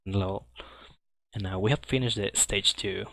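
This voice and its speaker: A man gives live gameplay commentary in hesitant, halting English. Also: on the low side, vocal fry, no room sound, rough recording. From Latin America. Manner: hesitant, halting non-native English phrasing. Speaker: speedrunner commentating own game run. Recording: loud game music throughout. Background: loud video game music.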